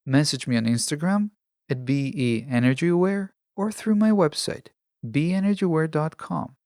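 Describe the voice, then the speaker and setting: A man hosting a self-help podcast, speaking in a measured, deliberate and reflective monologue. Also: on the high side, creaky, darkish tone, no echo, studio quality. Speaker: podcast host.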